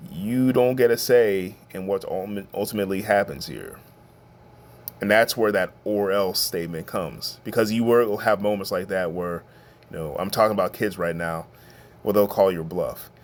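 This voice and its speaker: A middle-aged man with a deep, bassy voice giving an informal advice monologue, talking conversationally and stressing his points. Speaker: podcast host giving family advice.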